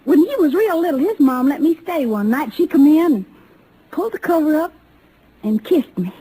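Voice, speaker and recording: A woman's voice: high voice, animated, moderate pace, warm tone. An American woman playing a hot-tempered young frontier gunslinger in a radio western, with folksy, ungrammatical, combative talk. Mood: wistful. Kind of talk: old-time radio western acting. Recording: noisy old radio drama recording.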